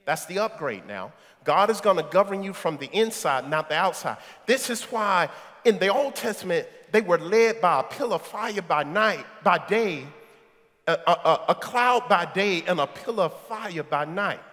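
A middle-aged man preaching a sermon in a large church sanctuary on a headset mic, shouting at the peaks and tossing quips at the congregation. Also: sits high, animated, moderate pace. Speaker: pastor. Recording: echoey sanctuary with congregation and organ. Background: congregation and soft music.

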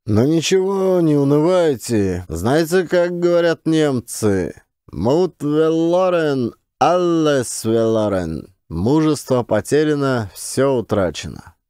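A man voicing a gruff, weary male camp warehouse chief who talks in a mocking, curt way. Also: on the high side, sing-song, slow pace, dark tone, no room sound, studio quality, voice pushed up.

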